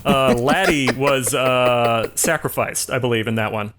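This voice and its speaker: A man's voice: medium pitch, measured pace, noisy background. A man co-hosting a casual film discussion, explaining details and correcting himself as he goes. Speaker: podcast co-host.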